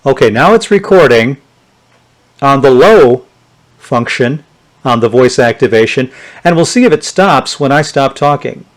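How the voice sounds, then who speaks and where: A man's voice: medium pitch, lively intonation, unhurried. A man giving a casual, unscripted hands-on demonstration, thinking aloud as he tests things. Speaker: vintage electronics reviewer.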